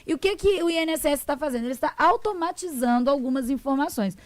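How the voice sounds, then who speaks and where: High-pitched lady lawyer breaking stuff down, dry close sound, does little quotes of clients. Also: lively intonation, fast, a little mellow, no room sound. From Brazil. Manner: didactic, acts out clients' words in quotes. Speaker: social security lawyer, guest. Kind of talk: explanatory interview talk.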